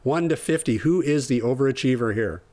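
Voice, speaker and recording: A man with an average-pitched voice leads a casual group podcast game. He reads out items clearly and breaks into easy laughter between them. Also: expressive, brisk, a little mellow, a little hiss or hum. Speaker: podcast host.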